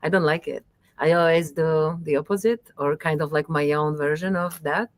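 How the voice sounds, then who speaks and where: Low voiced polish-native lady, talks in fits and starts, lots of ums, dry close mic. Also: fairly even intonation, no echo. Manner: halting, many fillers, laughs often. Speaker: musician guest. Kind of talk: casual interview talk.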